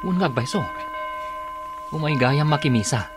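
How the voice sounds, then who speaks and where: A man's voice: a little high, normal speed, over loud music, close to an everyday voice. A man with an Ilocano accent playing a friendly churchgoing family man in a radio drama.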